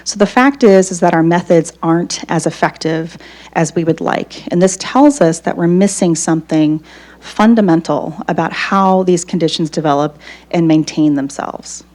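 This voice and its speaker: A woman professor giving a psychology lecture, her voice still hoarse after losing it, talking conversationally and checking in with the audience. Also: on the low side, normal speed, darkish tone. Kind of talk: academic lecture.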